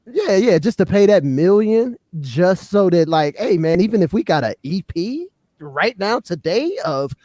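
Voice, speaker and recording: Higher-voiced American man, casual podcast talk. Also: sing-song, unhurried, dark tone, no room sound, studio quality. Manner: colloquial, punctuates points with "man" and "you know what I'm saying". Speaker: podcast co-host. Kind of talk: casual hip-hop podcast commentary.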